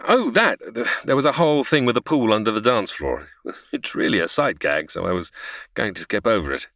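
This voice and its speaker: A man's voice: on the low side, very animated, brisk, fairly bright tone, dry recording, clean studio sound. A man playing a patient, slightly flustered angel who narrates and explains a story in a comedy audio drama.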